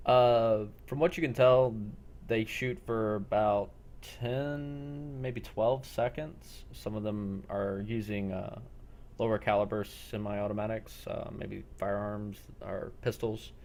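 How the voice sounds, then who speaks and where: Low-voiced man running a role-play game. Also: steady tone, darkish tone, slight background noise. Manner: halting, deliberate. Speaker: game master.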